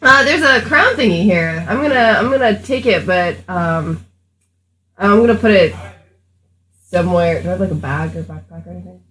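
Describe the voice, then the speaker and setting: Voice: medium pitch, expressive, moderate pace, bright, forceful tone. A player in a tabletop role-playing game, chatting casually in a slangy, jokey way.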